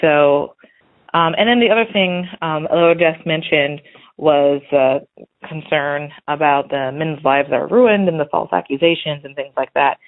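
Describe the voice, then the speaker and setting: A woman's voice: deep voice, measured pace. American woman on a muffled phone line. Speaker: podcast listener calling in. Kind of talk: casual spoken voicemail commentary.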